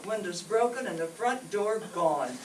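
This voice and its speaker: Woman as fretful housewife over loud noise. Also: mid-range voice, expressive, a little slow, close to an everyday voice. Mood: defensive, exasperated. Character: fretful, sympathetic suburban housewife. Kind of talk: old-time radio comedy acting on stage. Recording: distant echoey stage, loud noise.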